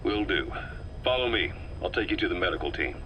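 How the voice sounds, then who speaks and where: An actor playing a tough, pragmatic military officer in a video game, blunt, skeptical and matter-of-fact. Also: male voice, low-pitched, animated, a little fast. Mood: brisk. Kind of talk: dramatic video game voice acting. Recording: faintly noisy taped field recording.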